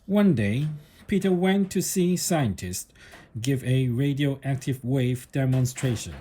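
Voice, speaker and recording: Slow, soft-edged man reading a storybook. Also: mid-range voice, husky. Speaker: storybook reader. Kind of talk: read-aloud children's story narration.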